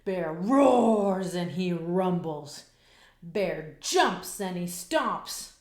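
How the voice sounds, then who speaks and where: Slow, expressive woman reading a storybook. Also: lowish voice, reverberant. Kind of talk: children's picture-book read-aloud.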